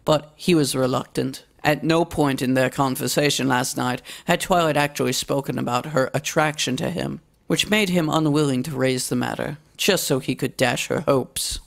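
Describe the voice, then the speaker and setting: A woman's voice: very deep voice, moderate pace, creaky, hardly any pauses. A woman reading fiction aloud as a scripted story narration. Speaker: story reader.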